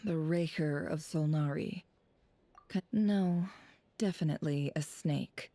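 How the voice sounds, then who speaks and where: A composed, sardonic game character with a lowish, dark, mellow voice. She is measured and guarded, brooding with a cynical edge. The recording is close and dry. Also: no room sound. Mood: brooding, bitter. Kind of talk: video game voice acting.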